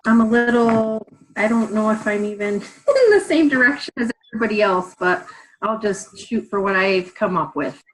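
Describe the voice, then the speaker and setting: A woman's voice: medium pitch, moderate pace, rough recording. A woman student talking hesitantly and casually on a video call from a bedroom at home.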